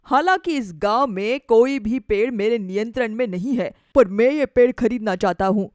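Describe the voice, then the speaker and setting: A bossy village boss man, put on by a woman in a cartoon moral tale. He is greedy and boastful, with a high-pitched voice and a dry, close sound. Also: normal speed, darkish tone, dry recording, close to an everyday voice. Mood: incredulous, demanding. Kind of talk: animated moral story dialogue.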